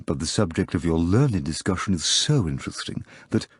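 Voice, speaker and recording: A man's voice: deep voice, sing-song, brisk, slightly rough, no echo, studio quality, full character voice. A man doing a sly foreign stranger with an accent. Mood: polite. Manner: polite, furtive, sly. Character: mysterious foreign gentleman, sly and deep-voiced.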